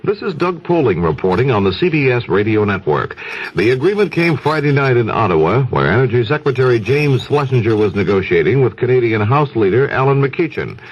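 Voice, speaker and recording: American newsman with lively, slightly husky delivery. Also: medium pitch, brisk. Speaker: radio news anchor. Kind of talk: scripted news reading. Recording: dull, muffled 1970s radio broadcast.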